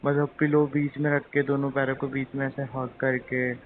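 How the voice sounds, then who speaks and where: A man's voice: mid-range voice, flat delivery, normal speed, close to an everyday voice. A man playing a teasing, penny-pinching young husband-to-be chatting casually and hesitantly on a phone call. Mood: playful, teasing. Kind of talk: acted intimate phone-call drama in casual chat. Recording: tinny phone line, faint noise.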